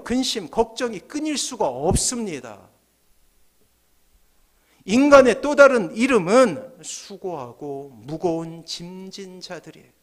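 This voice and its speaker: High-pitched male preacher, emphatic delivery. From South Korea. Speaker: pastor. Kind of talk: sermon. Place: church sanctuary, at the pulpit. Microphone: gooseneck podium microphones.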